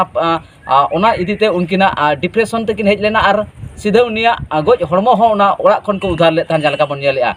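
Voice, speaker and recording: A man with a high-pitched voice presents regional news as informal commentary. He moves through his sentences haltingly, pausing and hesitating as he explains.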